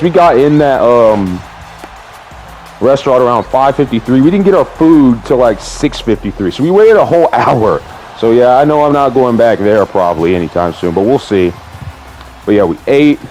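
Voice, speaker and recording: A slightly hoarse man recapping his day in a casual vlog, excitable and sprinkling his talk with drawn-out exclamations. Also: mid-range voice, rough recording. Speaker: vlogger.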